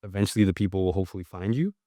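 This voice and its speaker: A man's voice: low-pitched, rapid-fire, warm tone, no room sound, clean studio sound. A man from Canada, a writer and journalist being interviewed, answers thoughtfully with frequent "like"s and restarts. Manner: thoughtful, with many filler "like"s and restarts.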